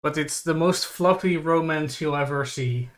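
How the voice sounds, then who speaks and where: A man casually recapping anime as a livestream co-host, speaking haltingly and often repeating his words. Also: on the high side, a bit bright.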